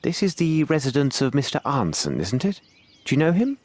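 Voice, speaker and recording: An actor playing a composed gentleman detective in a video game, speaking formally and precisely with courteous authority. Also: mid-range voice, wildly swooping pitch, fairly quick, breathy edge. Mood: gently questioning. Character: composed, commanding, clever English gentleman detective, adult male. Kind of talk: video game cutscene acting.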